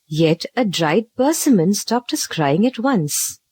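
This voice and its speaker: A woman narrating a children's story from a script, with an expressive, dramatic read-aloud delivery. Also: medium pitch, takes it slowly, breathy edge.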